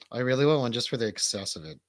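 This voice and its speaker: A man's voice: mid-range voice, lively intonation, brisk, no echo, studio quality. A man hosting a livestream, chatting casually in an informal, bantering way. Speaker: livestream host.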